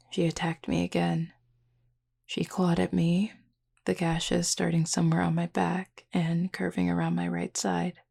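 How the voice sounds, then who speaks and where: A woman's voice: warm tone, exaggerated voice. A woman playing a flirty, laid-back, protective female werewolf in an intimate audio roleplay, speaking casually and unhurriedly to the listener. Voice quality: low, husky, gravelly. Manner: casual, unhurried, teasing, nonchalant.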